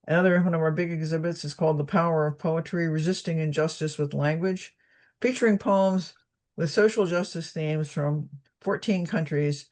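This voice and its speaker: A woman's voice: low-pitched, darkish tone. A woman presents her organization's online exhibits over a video call in an informative, chatty way.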